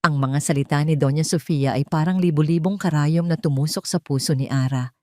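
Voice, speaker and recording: A woman's voice: deep voice, fairly quick, mellow, no room sound, studio quality. A woman storyteller reading a scripted dramatic narration.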